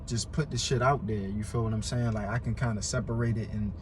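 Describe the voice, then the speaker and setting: A man's voice: on the low side, fairly even intonation, quick pace. American man with a stutter, noisy recording. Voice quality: stutter, word and syllable repetitions. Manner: emphatic, repeats himself for emphasis. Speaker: podcast guest. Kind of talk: casual chat, heavy slang and swearing.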